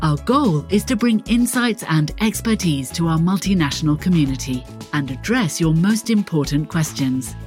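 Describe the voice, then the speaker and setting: A woman reads a scripted podcast intro with a very low voice and lively, swooping intonation. The delivery is polished, with faint music behind her. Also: normal speed, hardly any pauses. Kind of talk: scripted announcement.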